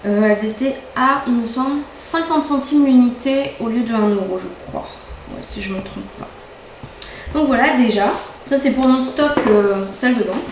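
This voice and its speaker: A young woman with a fairly high voice talks through her purchases in a chatty, excitable way, doubling up words when she loves something. The room rings with echo. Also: moderate pace, slight background noise. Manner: chatty, enthusiastic, repeats words for emphasis. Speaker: beauty vlogger. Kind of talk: casual haul chat. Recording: echoey room, faint ambience.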